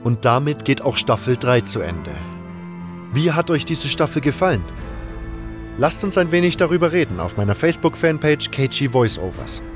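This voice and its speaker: A man's voice: mid-range voice, sing-song, normal speed. A man narrating a scripted fantasy audio drama in a dramatic storyteller's style. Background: music.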